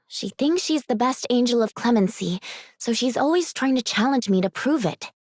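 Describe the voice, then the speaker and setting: A young anime game heroine who is guarded and wearily blunt. Her voice is a bit high with a husky, breathy edge. The recording is close and dry. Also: animated, normal speed, a little mellow, no room sound. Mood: exasperated.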